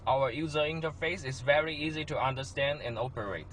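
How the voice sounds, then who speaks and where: A man presents a product walkthrough from a script. His voice sits at an ordinary middle pitch, and faint background noise runs under the recording. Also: a little fast, a bit bright. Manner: non-native English phrasing. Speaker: product demonstrator. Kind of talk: scripted product demo narration.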